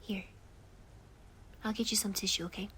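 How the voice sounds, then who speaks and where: A woman voicing a gentle young boy who comforts his best friend in an ASMR roleplay, speaking softly and often in a whisper. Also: medium pitch, steady tone, slow pace, a little hiss or hum, natural voice. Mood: soothing. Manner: soft, soothing, often whispered.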